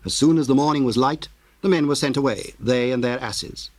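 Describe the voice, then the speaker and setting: A man narrating scripture in a formal, measured storytelling manner. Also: medium pitch, a little mellow, no room sound. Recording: noisy old cassette tape.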